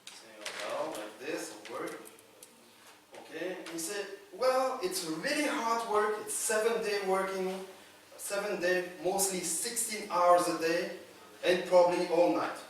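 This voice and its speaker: A man from Belgium, a native French speaker with a Belgian French accent, gives an informal conference talk as a story, in halting English with acted-out dialogue. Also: high-pitched, a bit bright. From Brussels, Belgium. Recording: echoey, noisy room, distant microphone.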